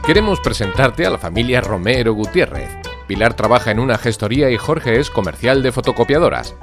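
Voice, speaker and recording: A man delivers scripted narration for an audio drama. His mid-range voice moves expressively up and down, with guitar music playing clearly behind him. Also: medium pitch, lively intonation, fairly quick. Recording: prominent guitar music bed.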